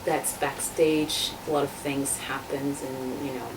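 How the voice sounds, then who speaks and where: Low-voiced woman, hesitant, distant mic. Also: little pitch movement, a little slow. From Tunisia, born in France. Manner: hesitant, many fillers and restarts, explaining. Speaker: constituent assembly member, guest. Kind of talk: interview answers. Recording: distant mic, noisy room ambience.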